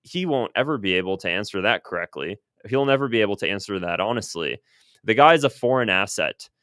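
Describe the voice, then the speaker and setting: A man's voice: on the low side, expressive, brisk, a bit bright, dry recording, clean studio sound. An American man giving unscripted, opinionated political commentary in an emphatic, insistent way, repeating phrases for stress. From United States.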